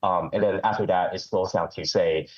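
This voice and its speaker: A man's voice: sits low, steady tone, normal speed, muffled recording. A man calling in to a live show, speaking hesitantly with many ums and restarts, with a head cold. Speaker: caller. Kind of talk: call-in chat.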